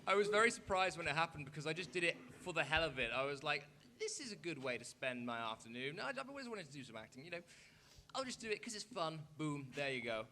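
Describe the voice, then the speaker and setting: Bright, animated man rambling through a story. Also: on the high side, quick pace, a little hiss or hum. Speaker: voice actor on a fan panel. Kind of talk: animated rambling anecdote, acting out dialogue. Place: convention panel room, indoors. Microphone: handheld microphone.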